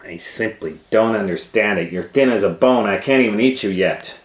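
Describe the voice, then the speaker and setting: A greedy old witch from a children's storybook, acted out by a man, impatient and gloating, in a low-pitched voice. The bossy exclamations ring in a roomy, echoing space. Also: normal speed, lightly acted. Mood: impatient, annoyed. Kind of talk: children's storybook read-aloud. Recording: roomy, echoing indoor sound.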